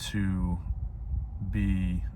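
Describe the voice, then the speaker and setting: A young man whose voice is hoarse and wearing out, so it sits deep and low. He rambles reflectively to his viewers from a parked car, leaning on fillers. A faint hum of ambience sits behind him. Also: little pitch movement, extremely slow, warm tone. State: hoarse, voice going out. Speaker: vlogger. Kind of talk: casual talking-to-camera vlog.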